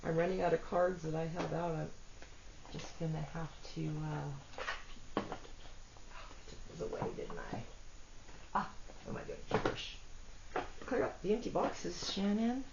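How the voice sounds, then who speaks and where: Woman, congested rough voice, chatty tarot reader, slightly low, faint background hiss. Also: measured pace, pauses often. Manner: chatty, self-deprecating, talks to cards and viewers. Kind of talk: casual solo tarot reading. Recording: dull lo-fi audio with faint noise.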